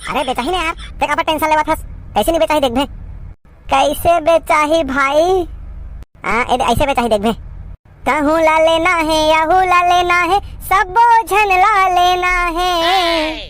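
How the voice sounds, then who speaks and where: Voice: very high-pitched, unhurried, fairly bright tone. A performer voicing a naive, bumbling young village mango seller in a cartoon comedy, a woman by the sound of it, with a Chhattisgarhi accent. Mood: eager. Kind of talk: cartoon comedy skit dialogue.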